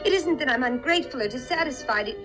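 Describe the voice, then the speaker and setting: A kind-hearted young working woman in a vintage radio play. Her voice sits high and she speaks with effusive, earnest reassurance. Music runs behind the old recording. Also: expressive, quick pace. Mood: earnest, apologetic. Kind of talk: 1950s radio drama acting.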